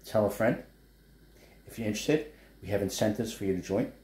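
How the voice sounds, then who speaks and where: A low, mellow-toned man with a New York accent rambles cheerfully through a home cooking demo, drifting into chatty asides. His voice rings a little in a roomy living space, with a faint hum of room noise behind. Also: brisk. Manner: chatty, rambling asides while stirring a wok. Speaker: chef and food blogger. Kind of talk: casual cooking demonstration. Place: home living room kitchen setup, indoors.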